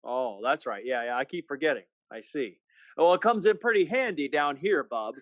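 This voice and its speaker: A man playing a despairing, sardonic small-town family man in a radio play, with a halting, folksy, skeptical drawl. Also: on the high side, expressive, fairly bright tone. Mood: bitter, despairing. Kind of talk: 1940s-style radio play acting.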